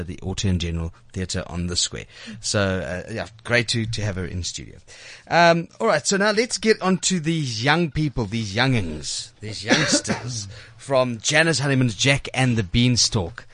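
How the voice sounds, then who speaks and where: A man's voice: on the low side, expressive, moderate pace, hardly any pauses, a bit bright, no echo. A man hosting a casual studio interview, chatty and teasing, often repeating words and restarting his sentences as he goes. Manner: chatty, teasing banter with guests. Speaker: radio show host. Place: radio studio. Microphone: studio microphone.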